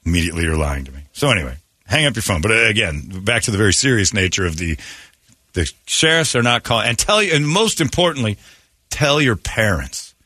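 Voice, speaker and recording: A man's voice: on the low side, sing-song, fairly quick, sharp tone, no echo, clean studio sound. A man hosting a comedy morning radio show, riffing in an irreverent, profane way.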